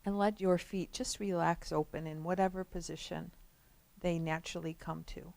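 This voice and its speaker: A woman leading a guided relaxation as a meditation instructor, speaking gently and without hurry, with long pauses between phrases. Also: fairly low pitch, fairly even intonation, creaky.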